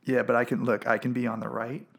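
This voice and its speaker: A young man co-hosting a podcast in casual chat, eager and quick to jump in. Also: medium pitch, level tone, creaky, a bit bright. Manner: eager, jumps in and talks over. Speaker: podcast co-host. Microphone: podcast microphone.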